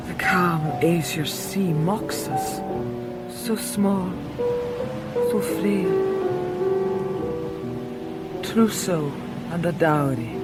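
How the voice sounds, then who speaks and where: A woman playing a brooding, bitter mother's inner voice in a literary radio drama, speaking in incantatory fragments. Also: low voice. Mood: bitter, weary. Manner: clipped fragments, incantatory listing.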